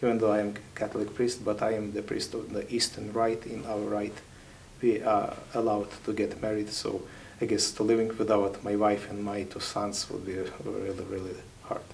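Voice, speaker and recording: A man in his thirties with a Ukrainian accent, a chaplain giving thoughtful, halting interview answers with many pauses. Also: fairly low pitch, monotone, vocal fry, a little mellow, a little hiss or hum, poor audio.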